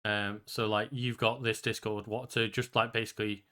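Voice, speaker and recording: Bright, forceful man in casual chat. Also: fairly low pitch, level tone, normal speed. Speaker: podcast co-host.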